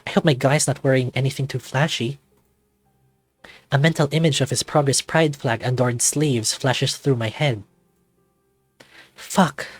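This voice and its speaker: A man's voice: medium pitch, steady tone, unhurried, long pauses, no room sound, soft background music, natural voice. A man voicing an anxious, self-doubting man in his thirties, narrating a visual novel introspectively in the first person. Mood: uneasy, self-conscious. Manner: introspective, confessional, dramatic reading.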